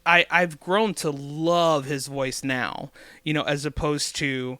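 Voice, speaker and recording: A man's voice: a little high, steady tone, slow, sharp tone, smooth, dry recording. A man guest on a music podcast, a singer-songwriter talking casually and enthusiastically, rambling with lots of fillers.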